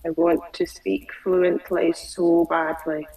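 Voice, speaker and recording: A woman client who stutters, slowly repeating phrases after her coach over a video call. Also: lowish voice, level tone, dark tone, recorded from a distance, rough recording.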